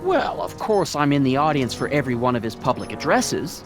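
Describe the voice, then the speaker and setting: A man's voice: mid-range voice, sing-song, a little fast, music in the background, close to an everyday voice. An actor playing a meek, middle-aged American small-town father in a video game, earnest, folksy and prim. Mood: deferential, wistful. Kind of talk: animated video game dialogue acting.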